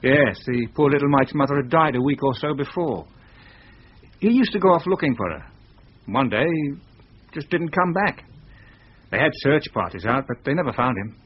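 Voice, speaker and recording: An actor playing a chatty, sympathetic British estate agent in a radio thriller. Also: male voice, mid-range voice, animated, moderate pace, breathy edge. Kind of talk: radio thriller drama acting. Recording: dull radio drama recording.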